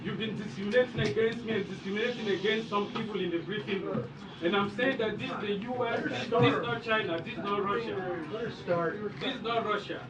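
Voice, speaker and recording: A male reporter protests insistently in a press briefing. His voice rides high and comes from well away from the microphone. People crowd loudly around him. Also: fairly quick. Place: indoor press briefing room. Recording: echoey crowded room, far from mic.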